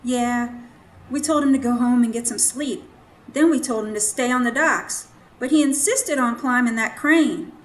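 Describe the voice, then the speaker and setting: A woman's voice: on the high side, moderate pace, lightly acted, poor audio. A woman acting in a radio drama as a kindly, plainspoken boarding-house keeper with a folksy, sympathetic manner. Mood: somber.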